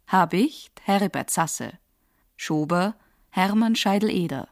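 A woman's voice: on the low side, expressive, slow, no room sound, clean studio sound. A woman reading formal scripted credits as a radio announcer.

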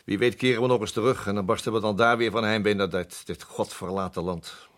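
An actor playing a blunt, world-weary colonial army captain in a radio play, talking in a familiar, musing way. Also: male voice, on the low side, fairly even intonation, fairly quick, a bit bright, natural voice. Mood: wistful.

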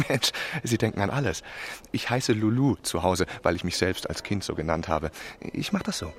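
An actor playing a young, rich, idle French marquis in a radio play, effusive and theatrical. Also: male voice, low voice, lively intonation, normal speed, slight background noise. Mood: amused, breezy. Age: young adult. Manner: theatrical, affected, hesitant then effusive.

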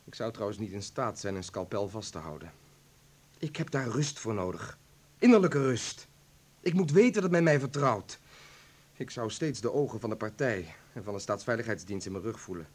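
A man's voice: lowish voice, lively intonation, moderate pace, husky. A man acting in a Dutch radio play as a weary, principled doctor who defends himself. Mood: indignant.

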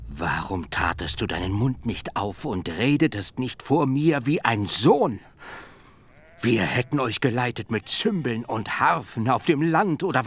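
An actor playing an aggrieved old patriarch in a radio drama, declaiming accusations in heavy, drawn-out phrases. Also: male voice, medium pitch, fairly bright tone, full character voice. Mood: reproachful, heavy, then wounded, indignant. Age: older. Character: aggrieved, blustering old patriarch, plump and hard-hearted. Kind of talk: literary radio drama acting.

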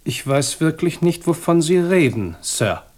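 An actor playing an evasive, polite schemer cornered by police in a German radio crime drama, formal and defensive. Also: male voice, on the high side, big pitch swings, a little slow, darkish tone. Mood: evasive denial.

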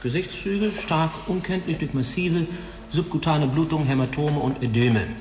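A man's voice: a little high, moderate pace, darkish tone, lightly acted. An actor playing a big old male pathologist who dictates an autopsy report in a dry, clinical manner, in a radio drama. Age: older. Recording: echoey tiled room, faint hiss.

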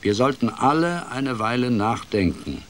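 A man voicing a wise, stern female owl who lectures with measured authority in a children's radio play. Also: mid-range voice, expressive, voice pushed up, lightly acted. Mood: admonishing.

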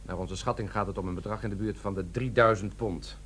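An actor playing a suspicious, officious military police sergeant, clipped and formal, in a comic radio play. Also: male voice, lowish voice, steady tone, a little fast, a bit bright, natural voice. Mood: interrogating. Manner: clipped, formal, dryly distrustful. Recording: faintly noisy recording with hum.

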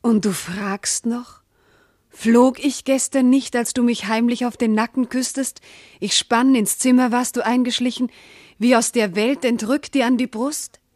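An actress playing a proud, loving noble wife, wounded and indignant, speaking formal, impassioned verse in a classical radio drama. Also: female voice, fairly high pitch, fairly even intonation, a little slow, darkish tone, no room sound, studio quality. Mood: passionate, reproachful.

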